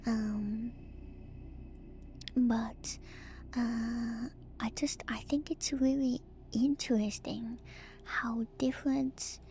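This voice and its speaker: A woman streamer whispering softly and breathily in an ASMR style, musing gently and hesitantly through game lore commentary close to the microphone. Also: a little high, level tone, drawn-out words, long pauses, slight background noise, low-quality recording. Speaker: streamer, VTuber host. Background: music.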